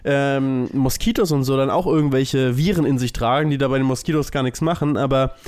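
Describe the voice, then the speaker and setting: A man's voice: mid-range voice, fairly quick, a little mellow. A man co-hosting a podcast, musing aloud in casual chat and repeating himself for emphasis. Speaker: podcast co-host.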